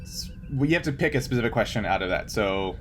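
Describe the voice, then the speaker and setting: A man's voice: on the low side, lively intonation, fast talker, a bit bright, slight background noise. A man running a tabletop game, narrating off the cuff in an informal, easygoing, explanatory way. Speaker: game master.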